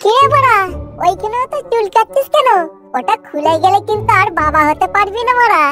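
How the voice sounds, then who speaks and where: A man voicing a scheming, teasing, foul-mouthed cartoon buddy with a West Bengali accent and a pitched-up effect. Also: animated, brisk, over loud music. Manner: teasing, self-assured. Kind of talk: crude comedy cartoon dialogue.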